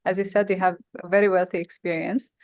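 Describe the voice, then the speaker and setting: A woman hosting a podcast interview, asking questions politely and in a measured way with some fillers. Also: lowish voice, little pitch movement, darkish tone, no room sound, clean studio sound. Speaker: podcast host.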